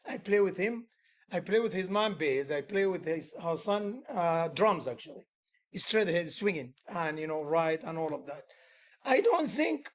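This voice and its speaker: A man who is a musician and music teacher, talking in a casual workshop setting. He is earnest and rambling, uses loose grammar and often restarts his sentences. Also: high voice.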